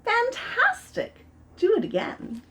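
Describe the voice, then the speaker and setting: A woman voicing a brave, clever young princess who speaks brightly and eagerly. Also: very high voice, wildly swooping pitch, slow, a little hiss or hum, voice pushed up, exaggerated voice. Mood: flattering.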